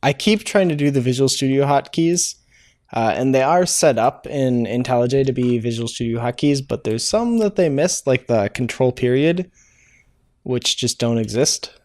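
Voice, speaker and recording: A man's voice: medium pitch, moderate pace, a little mellow. A man hosting a programming tutorial, thinking aloud as he codes, with frequent "uh" fillers and self-corrections. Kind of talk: casual live-coding walkthrough. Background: keyboard typing and clicks.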